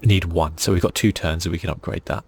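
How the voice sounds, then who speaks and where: Deep, gravelly man doing ASMR gaming. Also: steady tone, fast, darkish tone. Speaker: gaming channel host. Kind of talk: ASMR video game commentary.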